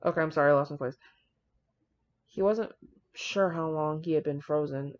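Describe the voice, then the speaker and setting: Lowish voice woman doing fanfic reading and reactions, brash and dramatic, kinda muffled cheap audio. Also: normal speed. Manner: brash, profane, slangy, exclamatory, dramatic. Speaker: video creator reading fan fiction aloud with commentary. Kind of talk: casual fan-fiction reaction with read-aloud passages. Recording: dull lo-fi audio.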